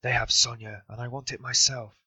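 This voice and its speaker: A man's voice: mid-range voice, level tone, brisk, pushed and bright, husky, no echo, heavily acted. A man voicing a bitter, brooding young man muttering sardonic thoughts to himself. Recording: dull, dry recording.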